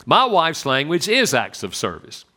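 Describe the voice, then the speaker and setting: A man with a Southern US accent giving an animated, joking church talk on marriage to an audience. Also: unhurried. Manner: animated and joking, swoops in pitch. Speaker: speaker on marriage.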